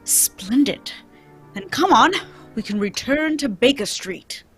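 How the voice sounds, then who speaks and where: Woman acting a keen gentleman detective, brisk, commanding, lively pitch, radio play. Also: soft background music. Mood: brisk, eager. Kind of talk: old-time radio drama acting.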